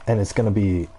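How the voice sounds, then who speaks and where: Male gaming creator, deep and mellow, halting casual ramble, faint noise behind. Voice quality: low-pitched voice. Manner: halting, rambling, trails off and circles back. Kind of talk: casual gameplay commentary, rambling chat.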